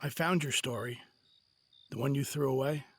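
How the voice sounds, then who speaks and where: An actor playing a weary, self-doubting husband and father in an audio drama, halting but sincere. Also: mid-range voice, slightly rough, no echo, lightly acted. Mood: tender, regretful.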